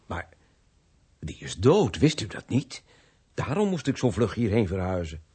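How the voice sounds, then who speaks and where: An actor playing an earnest vicar in a radio play, husky and often searching for words. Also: male voice, lowish voice, sing-song, measured pace, a little mellow, heavily acted. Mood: earnest, hesitant. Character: earnest, hesitant male vicar, new to his parish. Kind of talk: Dutch radio play acting.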